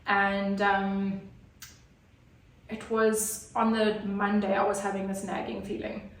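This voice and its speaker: A woman's voice: medium pitch, flat delivery, slow pace. A woman, telling her personal story as an interview guest in an earnest, hesitant way with many fillers. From Zimbabwe. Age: 30s. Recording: roomy, distant mic.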